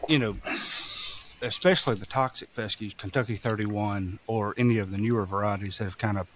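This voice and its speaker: A man's voice: lowish voice, a little fast, noisy background. A man from Mississippi with a Southern accent, chatting casually as a farmer guest on a podcast, folksy and self-deprecating. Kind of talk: casual chat.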